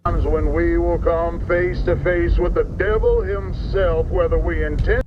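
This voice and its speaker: A slow-speaking, ominous preacher, played by a man, gives a dark horror-video sermon in formal, solemn phrases. His male voice sits a little high, and the recording is muffled and lo-fi. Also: fairly even intonation, heavy background noise, lightly acted. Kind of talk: scripted sermon narration.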